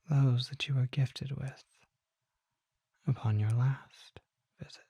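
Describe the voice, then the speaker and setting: Courteous ageless vampire collector, played by an actor for ASMR roleplay: very breathy whisper, slow and genteel. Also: medium pitch, warm tone, dry recording, exaggerated voice. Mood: genteel, pleased. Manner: slow, genteel, formal phrasing. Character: courteous, curious old vampire collector and magic shopkeeper. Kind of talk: ASMR roleplay, soft intimate monologue.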